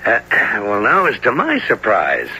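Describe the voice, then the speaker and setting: Folksy practical father, earnest, coaxing, gravelly, very animated, old radio drama. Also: lowish voice, measured pace, exaggerated voice. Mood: coaxing, pleased. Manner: folksy, plain-spoken, coaxing. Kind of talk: 1970s radio drama acting. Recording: faintly noisy old radio broadcast.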